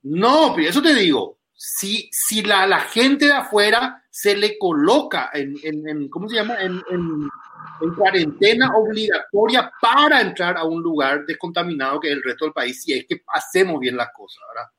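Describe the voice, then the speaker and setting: A man with a noticeably high, forceful voice. He talks casually in a group discussion, circling back over his words as he works out a thought. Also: animated, low-quality recording. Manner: halting, repeats words as he thinks.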